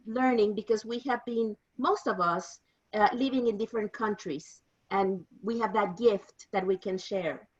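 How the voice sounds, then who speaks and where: A fifty-year-old woman from Mexico presents informally, explaining things as an interpreter would to trainees. Her English carries a Mexican accent from her native Spanish. The voice sits a bit high, and the recording is slightly muffled and lo-fi.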